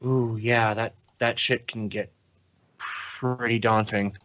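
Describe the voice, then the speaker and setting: A man's voice: medium pitch, level tone, takes it slowly, fairly bright tone, lo-fi sound. A man from the American Northwest with an American accent, co-hosting a podcast in playful, joking casual chat. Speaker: podcast co-host.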